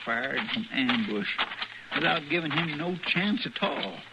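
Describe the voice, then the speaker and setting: An actor playing a folksy, loyal deputy with a rural Southern and Western American drawl in an old-time radio western. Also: male voice, medium pitch, expressive, unhurried, heavy background noise. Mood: dismayed. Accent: rural American South and West. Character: folksy, loyal, mournful male deputy sidekick.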